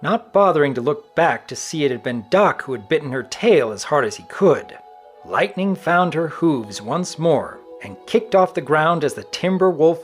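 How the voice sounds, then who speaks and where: A man narrating a story from a script in a dramatic reading style. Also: on the high side.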